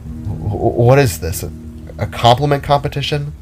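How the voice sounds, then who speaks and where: A man's voice: medium pitch, lively intonation, moderate pace, darkish tone, soft background music, pitched above the performer's own voice, lightly acted. A man voicing a shy, awkward teenage boy in an audio drama, hesitant, stammering and easily flustered.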